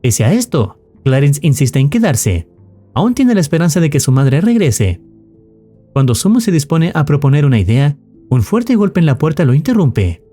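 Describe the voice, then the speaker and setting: A man narrates a scripted story in formal, measured phrasing. His voice sits a little high and has a very mellow, relaxed tone, with animated intonation that moves through each sentence. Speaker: narrator of horror video recaps. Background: music.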